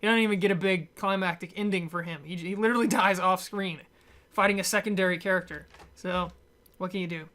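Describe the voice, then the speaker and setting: A woman's voice: fairly low pitch, steady tone, brisk, a bit bright. Blunt woman rambling through a movie rant. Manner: blunt, opinionated. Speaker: movie reviewer. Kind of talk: casual rambling film review rant.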